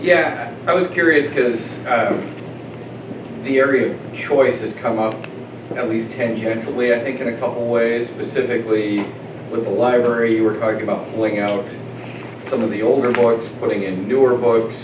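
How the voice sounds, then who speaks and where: A man in the audience asking a thoughtful, measured question at a community panel. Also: medium pitch, fairly even intonation. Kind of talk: question from the floor. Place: event room at a community panel. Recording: echoey, distant, noisy.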